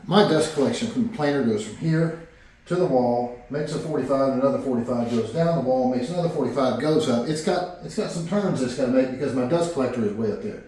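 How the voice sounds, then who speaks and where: A man's voice: mid-range voice, darkish tone, recorded from a distance, a little hiss or hum. Man talking in an echoey workshop. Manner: rambling, halting with fillers and restarts. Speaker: woodworking vlogger. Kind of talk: casual workshop narration. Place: home workshop.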